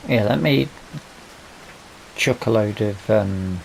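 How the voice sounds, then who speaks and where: A man giving casual let's play commentary, thinking aloud to himself in a gravelly voice. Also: on the low side, takes it slowly. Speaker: gaming video host. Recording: noisy, rain and vehicle sounds. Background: farming game rain and vehicle noise.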